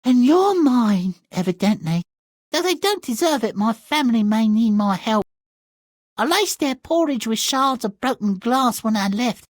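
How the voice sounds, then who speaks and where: Man voicing a high, acid-tongued young woman. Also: measured pace, dry recording, studio quality, full character voice. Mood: sardonic. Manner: deadpan, acid, articulate insults. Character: bitter, sardonic young woman, contemptuous fairy-tale heroine. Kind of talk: dark comic video game cutscene acting.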